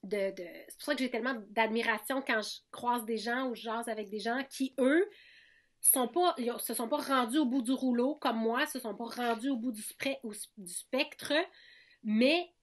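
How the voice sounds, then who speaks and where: A woman's voice: on the high side, moderate pace. A woman in her thirties with a Québécois French accent, talking frankly as a podcast guest, often restarting and repeating words. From Quebec. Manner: frank, many restarts and repetitions. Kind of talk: candid conversational interview.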